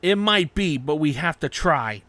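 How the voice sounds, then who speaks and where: A determined young swordsman from an audio story, voiced by a man. He sounds earnest and urgent, fairly high in pitch, on a dry close recording. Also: lively intonation, a little slow, sharp tone, no echo. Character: earnest, determined young male demon slayer.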